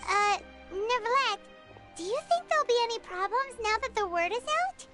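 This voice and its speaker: A woman voicing a small, childlike, excitable fairy companion in a video game, with a squeaky voice and a chirpy manner. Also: moderate pace, loud music behind the voice. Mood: worried. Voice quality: squeaky, piercing. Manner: childlike, refers to self by name.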